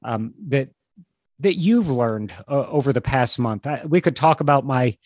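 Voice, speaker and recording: A man co-hosting a podcast in casual conversation, a bit congested from a cold, speaking in an earnest, halting, wordy way. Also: mid-range voice, expressive, darkish tone, dry recording. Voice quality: slightly stuffy, congested. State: struggling with a cold. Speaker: podcast co-host. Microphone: video call.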